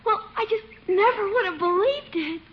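A very high-voiced, lovestruck little girl. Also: normal speed. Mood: adoring. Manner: cooing, giggly, breathless adoration. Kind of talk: 1950s radio sitcom acting. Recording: noisy, muffled old radio recording, audience.

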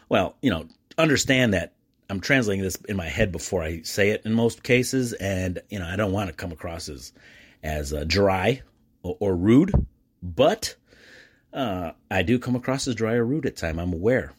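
A middle-aged American man telling personal stories as a podcast host, chummy and animated, often acting out bits of conversation. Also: lowish voice, moderate pace. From United States. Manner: animated, self-describedly chummy and jokey; acts out quoted exchanges. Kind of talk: casual solo podcast monologue, storytelling.

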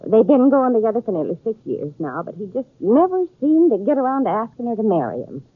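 A meddling older frontier aunt, sheepish and flustered, with a rural western American accent. Her husky voice moves up and down a lot on a tinny 1950s radio drama recording. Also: fairly high pitch, sing-song, fast, dry recording. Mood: sheepish, pleading. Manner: flustered, faltering.

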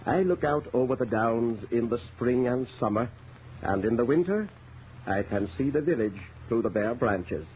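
A man's voice: medium pitch, expressive, normal speed, natural voice. An actor playing a genial, hospitable country squire in his sixties, with hesitant, sighing delivery, in a 1930s radio drama. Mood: genial, weary. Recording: muffled, noisy 1930s radio recording.

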